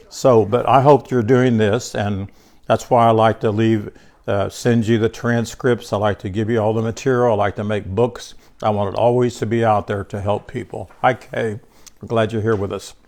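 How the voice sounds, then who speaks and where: A man's voice: fairly low pitch, gravelly, darkish tone. An older man with a congested voice teaching scripture to a small group. He talks informally, repeats and restarts words, and addresses listeners by name. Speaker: bible teacher.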